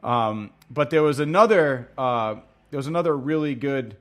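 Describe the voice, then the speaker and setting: A man's voice: mid-range voice, animated, moderate pace, a bit bright. A man co-hosting a podcast, chatting casually in a rambling way full of fillers. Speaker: podcast co-host. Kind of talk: casual chat.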